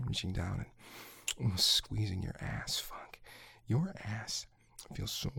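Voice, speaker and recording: A man speaking in a hushed, slow whisper for an intimate audio roleplay, with frequent low murmurs and sighs and a coaxing, commanding way of giving instructions. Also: vocal fry, slightly rough, no room sound.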